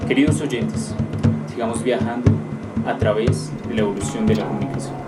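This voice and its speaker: A man's voice: mid-range voice, fairly even intonation, moderate pace. A man presenting a radio drama with theatrical, scripted narration. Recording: echoey, distant, under loud music.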